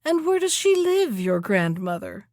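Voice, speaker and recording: A woman's voice: mid-range voice, big pitch swings, measured pace, darkish tone, no echo, studio quality. A woman voicing a sly, villainous male wolf who acts sweet and friendly in a storybook tale. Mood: coaxing. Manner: coaxing, falsely sweet.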